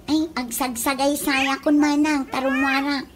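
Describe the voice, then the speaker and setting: An actress playing a nosy, blunt younger sister in a comedy radio drama, with an Ilocano accent. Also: female voice, high voice, flat delivery, measured pace. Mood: teasing, blunt. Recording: noisy radio drama recording.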